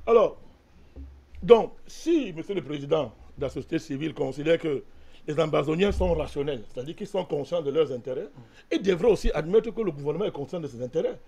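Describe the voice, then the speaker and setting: Argumentative high-voiced man from Cameroon. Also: lively intonation, brisk, warm tone, breathy edge. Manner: insistent, argumentative, repeats a direct address to the host. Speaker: debate panelist. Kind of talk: heated political debate.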